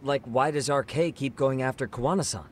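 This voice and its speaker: A man's voice: mid-range voice, a little fast, slight background noise, lightly acted. An actor playing an inquisitive, cheeky young man with a casual manner in an English game dub. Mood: curious. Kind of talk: English dub video game drama acting.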